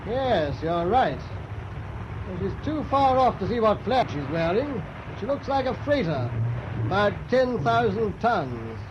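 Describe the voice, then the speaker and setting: An actor playing a brisk British destroyer captain in a radio drama, clipped, composed and commanding. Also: male voice, high voice, big pitch swings. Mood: measured. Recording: dull lo-fi radio recording, loud ship noise.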